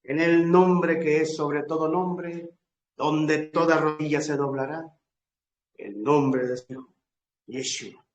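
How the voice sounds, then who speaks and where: Echoey, slow-paced man praying fervently. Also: fairly high pitch, fairly even intonation, dull audio, a little hiss or hum. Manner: solemn, emphatic, building lists in short phrases with long pauses. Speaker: preacher leading congregational prayer. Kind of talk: fervent extemporaneous prayer. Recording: echoey room.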